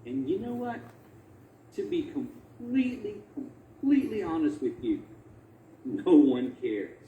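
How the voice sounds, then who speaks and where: A man's voice: sits high, big pitch swings, a little slow, warm tone, dull audio. A man giving a conversational academic talk as a researcher, emphatic, repeating phrases and asking rhetorical questions. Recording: roomy echo, faint background noise.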